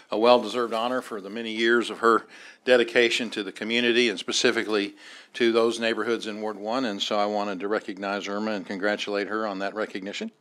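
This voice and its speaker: A man's voice: lowish voice, bright, forceful tone. A middle-aged man, chairing a city board meeting from the dais in a large council chamber, speaking formally with many hesitant fillers into a desk microphone. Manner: halting, many "uh" fillers, formal procedural phrasing.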